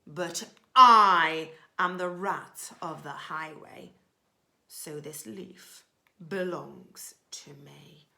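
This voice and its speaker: A woman voicing a boastful, greedy male rat highwayman from a rhyming storybook, with loud theatrical commands. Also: extremely slow, gravelly, exaggerated voice. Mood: bellowing, greedy. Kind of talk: rhyming children's storybook villain.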